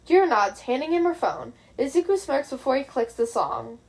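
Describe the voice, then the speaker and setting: A woman's voice: sits high, animated, normal speed, darkish tone. A woman reading fan fiction aloud as an amateur narrator, in scripted storytelling with casual asides.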